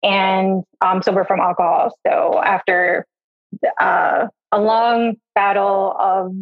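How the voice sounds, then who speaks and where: A woman's voice: medium pitch, level tone, slow, narrow, tinny audio. A woman in her thirties from the Midwest, a podcast guest telling her story in a chatty way, with lots of "like" and "um" and frequent laughs. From US Midwest, Milwaukee. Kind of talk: casual personal storytelling.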